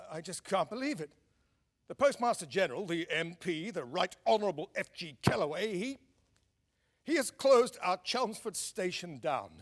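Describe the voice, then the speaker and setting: A man playing a fretful, excitable 1920s wireless engineer in a radio play, halting and emphatic. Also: high-pitched. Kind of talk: radio drama acting.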